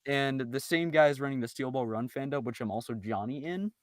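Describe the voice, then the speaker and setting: A man's voice: mid-range voice, a little fast, dry recording, studio quality. A man talking casually on a group podcast in an informal, chatty, emphatic way. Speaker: podcast member, amateur voice actor.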